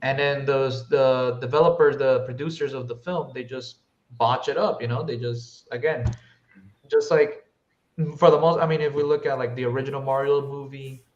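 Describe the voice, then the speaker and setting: A man talks casually as a podcast co-host, in loose, slangy speech with an average-pitched voice. The recording is roomy and echoey, with a slightly dull tone. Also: steady tone, moderate pace, a little mellow. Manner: informal, peppered with slang. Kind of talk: casual film review chat.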